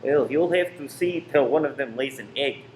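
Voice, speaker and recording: A man's voice: mid-range voice, normal speed, a little mellow, natural voice. Performer as guarded male saboteur, echoey room. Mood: guarded cordiality. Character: uneasy, guarded cinema owner and secret saboteur. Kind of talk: radio play acting. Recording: echoey room with faint noise.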